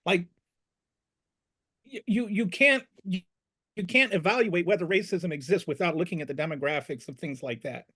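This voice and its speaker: A man's voice: high-pitched, normal speed, pauses often, bright, forceful tone, breathy edge. A man on a discussion panel, arguing casually and emphatically.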